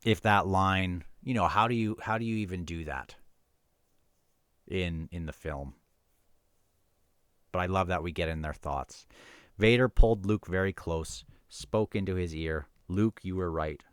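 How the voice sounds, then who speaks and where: A man's voice: deep voice, a little slow, long pauses, smooth, no echo, studio quality. A man casually talking through a book and reading bits aloud, informal and musing, sometimes stumbling over his words. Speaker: host of a book review show. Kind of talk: casual book discussion with read-aloud passages.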